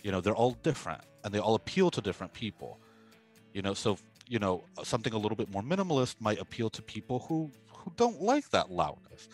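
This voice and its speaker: A man from Peru, raised in Miami, answering interview questions about food in an animated, expressive way. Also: mid-range voice.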